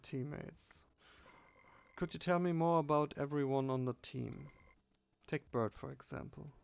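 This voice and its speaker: Male gamer reading text aloud casually, unhurried creaky delivery, slightly muffled home recording. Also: on the high side, a little mellow. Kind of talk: casual game playthrough with read-aloud dialogue.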